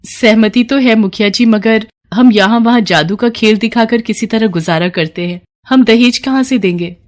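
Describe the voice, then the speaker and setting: A woman voicing a gentle, worried young eldest sister in a storybook tale, earnest and plaintive. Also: a little high, moderate pace, pitched above the performer's own voice, lightly acted.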